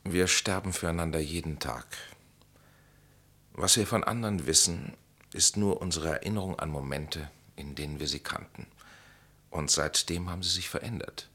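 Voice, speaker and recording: An actor playing an enigmatic, knowing stranger in a German radio drama, speaking in grave, measured, philosophical pronouncements with authority. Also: male voice, low voice, pushed and bright, slightly rough, heavily acted. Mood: grave, instructive.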